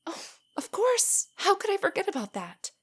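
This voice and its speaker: A young woman playing a nerdy, nervous, tender girlfriend in an intimate stargazing roleplay audio, speaking softly and often near a whisper as she gently rambles. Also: very high voice, huge pitch swings, moderate pace, heavily acted. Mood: excited. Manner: rambling, gently explaining, hesitant. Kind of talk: intimate roleplay audio, scripted to sound spontaneous.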